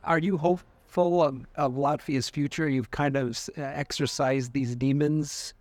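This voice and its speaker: A man conducting a casual, conversational interview, putting his questions in a tentative, halting way. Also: on the high side, steady tone, darkish tone, no echo.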